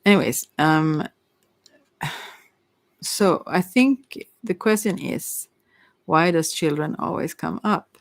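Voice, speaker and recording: Slow, halting tarot reader, close and dry. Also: mid-range voice, gravelly, dry recording. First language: not English. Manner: rambling, halting, trailing off mid-thought. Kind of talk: unscripted ASMR tarot reading.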